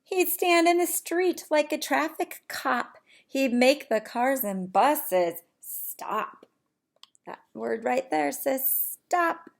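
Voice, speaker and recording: Preschool teacher lady reading a kids book out loud, slow, kinda high voice, singsongy, asks the kids questions. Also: gravelly. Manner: sing-song, expressive, addressing children with questions. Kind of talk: read-aloud of a children's picture book to young children.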